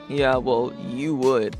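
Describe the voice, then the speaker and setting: A man's voice: medium pitch, darkish tone. A man playing a laid-back, sarcastic, secretly uneasy teenage boy who talks in casual, sweary slang in a webcomic fan dub. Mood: rattled. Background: music.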